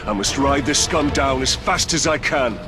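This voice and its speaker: A man's voice: mid-range voice, moderate pace, over loud music, natural voice. A man playing a resolute, stern ranger in a video game cutscene, speaking earnestly with a dry edge. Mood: grimly determined. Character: resolute, stern human ranger hero, dryly wry.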